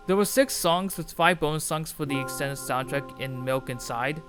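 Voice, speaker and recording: A man reading a scripted video essay about a game in an earnest, enthusiastic way. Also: on the high side. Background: music playing under the voice.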